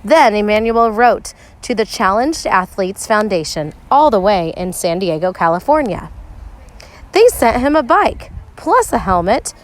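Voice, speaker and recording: Animated woman reading a storybook aloud. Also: mid-range voice. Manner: measured storytelling with dramatic pauses and emphasis. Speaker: teacher reading a picture book aloud. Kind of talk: expressive storybook read-aloud narration for children.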